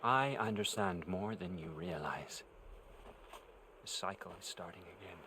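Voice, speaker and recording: A man's voice: low voice, measured pace, pushed and bright, slight background noise. A man playing a zealous, taunting villain leader in a cinematic video game, with a theatrical, smug delivery. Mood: fervent, intense.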